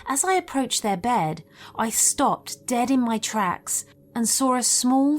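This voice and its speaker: A woman reading a scripted first-person story as a narrator of scary tales. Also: on the high side. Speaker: narrator of listener-submitted scary stories.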